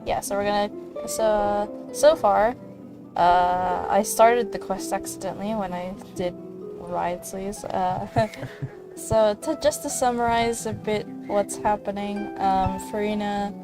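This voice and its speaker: A woman's voice: mid-range voice, steady tone, a little slow. Casual woman streamer with music behind. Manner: rambling, giggly. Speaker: game streamer. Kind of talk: casual livestream chat.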